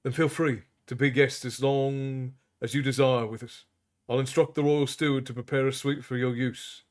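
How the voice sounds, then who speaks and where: A man voicing a composed, authoritative prince who speaks calmly and low. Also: moderate pace, lightly acted. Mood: commanding. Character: composed prince, commanding and wry.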